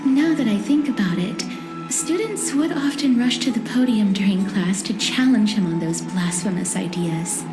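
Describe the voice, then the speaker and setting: An actress playing a gentle, polite young woman in a fantasy video game, speaking in a formal, reflective way. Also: mid-range voice, normal speed, lightly acted. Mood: politely curious. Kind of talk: scripted video game voice acting. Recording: reverberant, with music behind. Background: game music.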